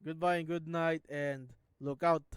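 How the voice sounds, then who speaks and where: Filipino-accented man with a smooth voice. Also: on the high side, steady tone, no echo, studio quality. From Philippines. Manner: halting, restarts words often. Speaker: YouTuber analyzing a show. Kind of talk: casual video commentary.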